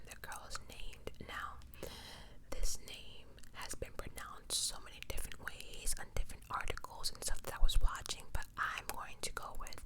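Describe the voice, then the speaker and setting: The voice never rises out of a full, unvoiced whisper. It belongs to an ASMR creator recounting true crime in a conversational way, stepping aside to comment casually and restating points for emphasis.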